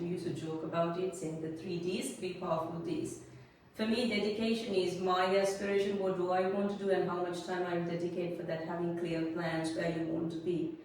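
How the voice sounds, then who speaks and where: Sri Lankan-accented woman, forties, measured motivational guest, lowish voice, very roomy sound with faint hiss. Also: darkish tone. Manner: earnest, measured, speaks in lists and principles. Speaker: guest, business consultant. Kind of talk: interview answers, motivational. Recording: roomy echo, a little distant from the mic, faint noise.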